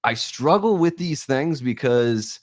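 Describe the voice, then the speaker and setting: A young American man hosting a podcast discussion, giving opinions and going through notes in an informal, halting, thoughtful way. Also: mid-range voice, lively intonation, no room sound, studio quality. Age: young adult. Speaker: podcast host.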